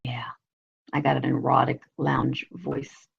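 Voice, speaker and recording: A woman with a low, raspy voice hosting a casual live video show. She rambles crudely and leans on constant "you know" fillers. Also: level tone, takes it slowly, highs cut off. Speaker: vlogger, show host.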